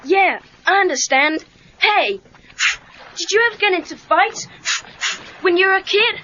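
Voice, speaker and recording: A woman's voice: extremely high pitch, expressive, a little slow, bright, forceful tone, exaggerated voice. A woman voicing an eager, questioning teenage boy karate pupil in a read-along cassette drama, earnest and pressing. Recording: dull cassette tape sound.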